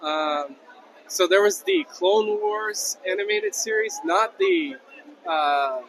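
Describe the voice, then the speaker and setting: Opinionated fan at a convention. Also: male voice, sits high, huge pitch swings, slow pace, creaky, a bit bright, a little hiss or hum, rough recording. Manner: opinionated, articulate. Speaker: convention attendee being interviewed. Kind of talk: casual interview answers. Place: busy convention hall.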